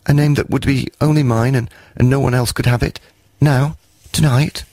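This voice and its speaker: A man's voice: mid-range voice, fairly even intonation, moderate pace, darkish tone, no echo, pitched above the performer's own voice, close to an everyday voice. A man doing a tiny, shy, eager creature, stammering. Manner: breathless, stammering, pleading.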